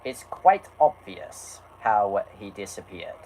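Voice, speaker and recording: A man's voice: fairly low pitch, big pitch swings, slow pace, dark tone, a little hiss or hum, poor audio. A man from the United States with a sore throat, chatting casually and theatrically. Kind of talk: casual film review chat.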